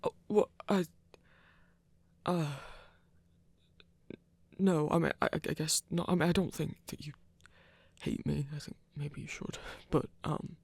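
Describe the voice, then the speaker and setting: Lonely, awkward werewolf for ASMR audio drama, played by a performer: mid-pitched, husky voice with fry, gentle and stammering. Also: fairly even intonation, unhurried, long pauses, no room sound. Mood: flustered, unsure. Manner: hesitant, stammering. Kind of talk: intimate ASMR audio roleplay.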